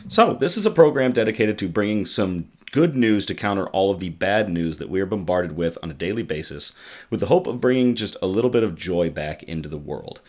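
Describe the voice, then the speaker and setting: A man with a raspy, worn-out voice casually hosting a good-news livestream from his home setup, chatting loosely with many ums and reading out viewer comments. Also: low voice, animated, fairly quick, a little mellow. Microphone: desktop USB microphone.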